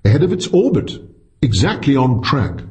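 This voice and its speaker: Guy putting on a learned top astronomer, polite, measured, understated, storybook character, raised pitch. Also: sing-song, darkish tone, natural voice. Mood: musing. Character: senior male astronomer, courteous, understated, learned official.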